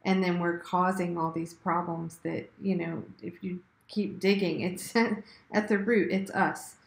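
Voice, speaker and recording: Gravelly-voiced woman host, echoey room. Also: fairly low pitch, fairly even intonation, unhurried. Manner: affirming, many "mm-hmm"s, conversational. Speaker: interview host. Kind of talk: interview over video call. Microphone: video call.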